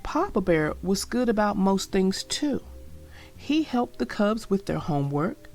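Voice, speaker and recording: A woman's voice: mid-range voice, big pitch swings, a little slow, a little mellow, soft background music. A woman narrating a children's storybook as a read-aloud for young listeners.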